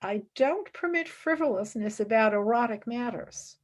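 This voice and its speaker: Actress as high-voiced, intense young student. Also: female voice, animated, normal speed. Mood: reproving. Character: intense, idealistic young woman philosophy student, exacting, earnest. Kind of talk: radio play acting.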